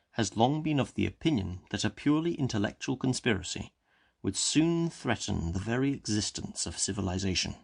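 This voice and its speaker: A calm, educated policeman, played by a man, speaking with slow, solemn composure. His voice sits low and carries a gravelly rasp. Every sentence comes out measured and unhurried. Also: exaggerated voice. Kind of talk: audiobook character reading.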